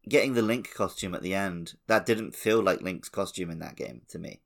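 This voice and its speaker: A man with a deep voice and plenty of gravelly creak. He speaks as a podcast co-host, chatting casually about gaming. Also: moderate pace, fairly bright tone. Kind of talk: casual video game discussion.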